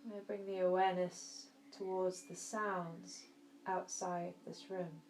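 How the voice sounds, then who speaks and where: Woman, yoga nidra teacher, slightly low even voice, soft and soothing, roomy echo, faint background noise. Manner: soft, soothing, gentle, with long pauses. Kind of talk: guided relaxation meditation. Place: indoor room.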